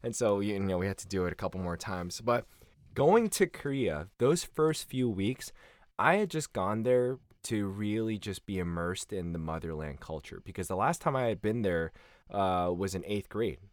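A man's voice: normal speed, dry recording, studio quality. Laughing young American man telling stories. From United States. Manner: animated, laughing storyteller who acts out quotes in a higher voice. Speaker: guest. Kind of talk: casual storytelling interview.

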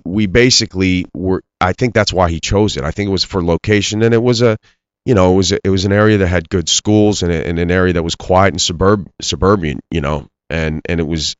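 A man's voice: low voice, fairly even intonation, fairly quick, clear, resonant tone, no room sound, highs cut off. A middle-aged man from Iran, raised in Pennsylvania, talking earnestly in an interview with many restarts. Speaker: actor guest. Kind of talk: interview conversation.

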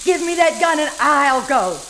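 A woman playing a frantic, pleading and menacing old woman in a radio suspense play, with breathless, halting delivery. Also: high voice, expressive, a bit bright. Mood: insistent pleading. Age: older. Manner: breathless moaning, halting repetitions, melodramatic pleading. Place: live performance at a winery. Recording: echoey, noisy live stage recording.

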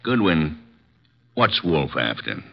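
An actor playing a gruff, terse male police inspector in a 1950s radio drama. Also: very deep voice, very animated, takes it slowly, full character voice. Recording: dull old radio recording.

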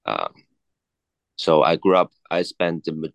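A man in his thirties from China, a native Cantonese speaker, talking haltingly as an interview guest with many fillers and restarts. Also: low-pitched, animated, creaky, no echo. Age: 30s. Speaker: guest, watch collector. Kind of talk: casual interview chat.

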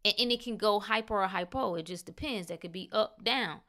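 A woman's voice: mid-range voice, brisk, sharp tone. A woman talking casually to her viewers about cleansing the body. She stresses her points and repeats phrases for emphasis, with chatty asides. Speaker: health and detox vlogger. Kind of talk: casual talk to camera.

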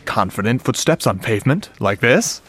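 A man's voice: mid-range voice, animated, normal speed, noisy background, close to an everyday voice. A man acting in a radio drama as an enthusiastic sound-effects guy, explaining things conversationally with questions to the listener. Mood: casual, eager.

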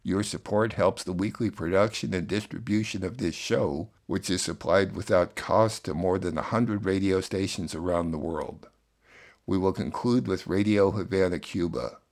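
A man with an American accent and a very low-pitched voice hosts a radio news show. He reads a scripted summary of world stories in the manner of a program introduction. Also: moderate pace, darkish tone.

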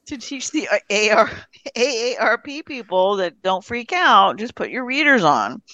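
Woman on a podcast joking dirty, bright voice, really animated. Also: fairly high pitch, normal speed, dry recording. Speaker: podcast guest. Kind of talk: casual, bawdy joking chat.

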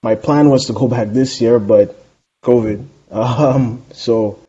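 A man's voice: mid-range voice, steady tone, slow, warm tone, slightly rough, dull audio. A man from the West Indies on a casual podcast, speaking in a thoughtful, reflective way.

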